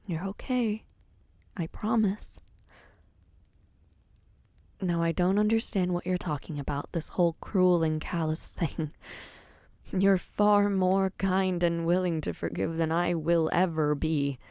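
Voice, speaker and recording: A woman playing a gentle, protective young prince in a dramatic audio roleplay, speaking in a soothing, earnest manner. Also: fairly low pitch, fairly even intonation, slow pace, long pauses, dry recording. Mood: soothing, worried.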